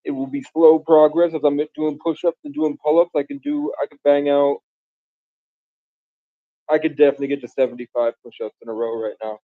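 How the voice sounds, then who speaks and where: A man's voice: fairly high pitch, fairly even intonation, fairly quick, dry recording, phone-quality sound. A man from the Bronx, raised in Vermont, rambling grandly and casually to his viewers on a live stream. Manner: grandiose, meandering, casual slang. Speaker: livestreamer.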